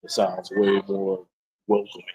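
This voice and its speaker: A man from Arkansas, an indie comic creator, chatting casually at his convention booth and calling out to passersby, relaxed and halting with many restarts. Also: low-pitched, husky.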